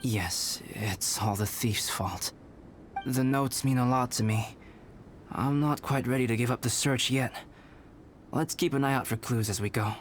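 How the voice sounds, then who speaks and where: An actor playing a calm, detached young male alchemist in a video game, speaking in a measured, formal and philosophical manner. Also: medium pitch, background noise, lightly acted. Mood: calm, resolute.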